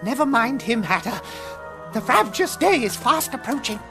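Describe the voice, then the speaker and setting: An actor voicing a fretful, well-mannered rabbit guide in a video game, with a proper, hesitant delivery. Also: male voice, very high voice, big pitch swings, normal speed, music in the background, heavily acted. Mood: urgent. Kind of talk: video game cutscene acting.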